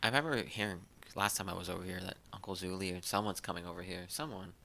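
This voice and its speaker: Young man, gravelly voice, bright tone, teasing, casual interview with his mother. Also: low voice, fast talker. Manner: easygoing, teasing, laughs often.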